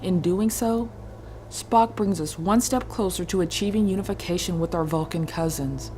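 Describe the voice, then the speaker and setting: Woman playing thoughtful romulan lady, lowish voice, deliberate, music behind, sci-fi audio drama. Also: natural voice. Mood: earnest, reasoning. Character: thoughtful, questioning Romulan woman, a student of logic.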